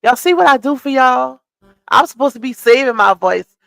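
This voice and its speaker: A woman's voice: high voice, lively intonation, moderate pace, vocal fry, a bit bright, dry recording. Chatty woman hosting a gossip live stream. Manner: chatty, reads out comments and greets viewers. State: losing her voice.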